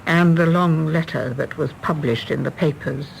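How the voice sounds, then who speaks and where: An actress playing a weary, self-reproachful middle-aged widow with an English Received Pronunciation accent in a radio drama. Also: female voice, deep voice, moderate pace, vocal fry, slight background noise, rough recording. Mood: regretful, weary. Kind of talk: British radio drama acting.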